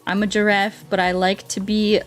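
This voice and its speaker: A woman's voice: medium pitch, little pitch movement, normal speed, soft background music. A woman doing casual, playful game livestream commentary, chatting to viewers and often repeating her words and phrases.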